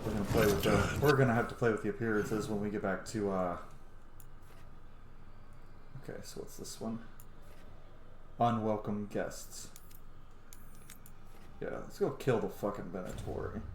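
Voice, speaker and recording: A man's voice: lowish voice, steady tone, normal speed, pauses often. A man giving casual, profanity-laced commentary while playing a video game. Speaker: gaming video host. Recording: roomy echo, clear background noise.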